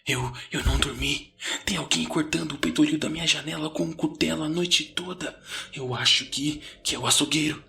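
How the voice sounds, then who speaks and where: Man acting a panicked, high-strung teenage boy. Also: lively intonation, fast, a bit bright. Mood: panicked whisper. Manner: stammering, ranting. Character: panicked, whiny sixteen-year-old boy, frantic and exasperated. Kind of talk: horror audio story character voice.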